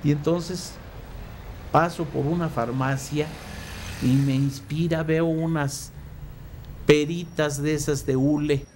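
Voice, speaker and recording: A man's voice: a little high, steady tone, slow, long pauses, a little mellow. A man with a Mexican accent, a radio sound effects artist telling anecdotes casually and colloquially in an interview. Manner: colloquial, acts out remembered dialogue. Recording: clear background noise. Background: background noise.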